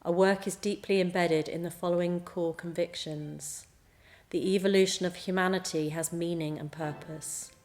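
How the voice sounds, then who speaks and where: A woman's voice: on the low side, steady tone, moderate pace, darkish tone. A woman who works at a college, giving a promotional talk about it. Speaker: college staff member. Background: music bed.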